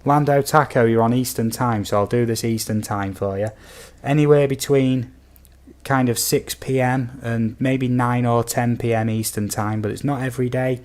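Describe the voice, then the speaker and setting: A man from the UK with a slightly croaky voice, chatting casually and easily to viewers as a livestream host. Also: medium pitch, fairly even intonation, moderate pace, a little mellow. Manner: easygoing, chatty, addresses viewers as buddy and guys. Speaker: game streamer, host.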